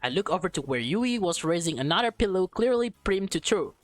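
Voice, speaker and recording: A woman doing a let's play, reading a visual novel aloud with expressive delivery, casual reacting asides and the occasional stumble over words. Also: on the low side, a little fast, no room sound.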